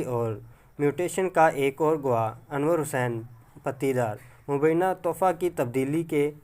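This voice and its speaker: A man with a Pakistani accent reads a scripted legal lecture as a teacher, in a formal, measured manner, mixing in English legal terms. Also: mid-range voice. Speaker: law lecturer.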